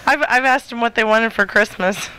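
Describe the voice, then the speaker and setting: A woman's voice: fairly high pitch, moderate pace, noisy background. A woman co-hosting a radio show in casual chat, playfully chipping in with quips.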